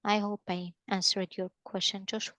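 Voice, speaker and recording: A woman's voice: mid-range voice, level tone, normal speed, bright, forceful tone, no echo. A young woman from northern Morocco, a native Arabic speaker with a Moroccan accent, answering hesitantly on a webinar video call with many fillers. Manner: hesitant, many fillers and restarts, friendly advice tone. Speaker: guest speaker from a mapping company. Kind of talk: webinar answer, mixing languages mid-sentence.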